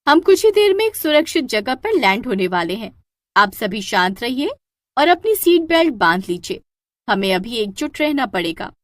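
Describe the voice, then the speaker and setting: A woman's voice: fairly high pitch, sing-song, normal speed, dry recording, studio quality. A woman playing a worried, dutiful air hostess in a cartoon story, speaking in an earnest, urgent and pleading way. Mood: anxious, reassuring.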